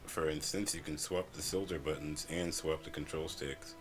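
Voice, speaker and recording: A man's voice: extremely low pitch, fairly even intonation, normal speed, fairly bright tone, soft background music. A man reviewing a video game in scripted narration, a little stuffy from a cold, plain and friendly.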